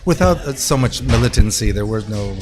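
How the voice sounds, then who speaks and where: A man in his sixties from California, talking casually and reflectively as an interview guest, in a halting way. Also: mid-range voice, animated, over music. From United States (California). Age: 60s. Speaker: mariachi musician, show guest. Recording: clearly noisy background ambience.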